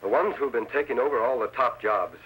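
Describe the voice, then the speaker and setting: An actor playing a masked frontier hero, commanding and decisive, giving crisp orders in a vintage radio western. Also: male voice, mid-range voice, fairly quick, a bit bright, lightly acted, poor audio. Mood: planning. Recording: hissy old radio recording.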